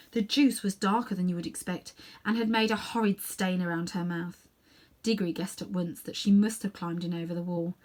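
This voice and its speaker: Woman reading a children's story expressively. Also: medium pitch. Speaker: storybook reader. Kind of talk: expressive scripted narration for children.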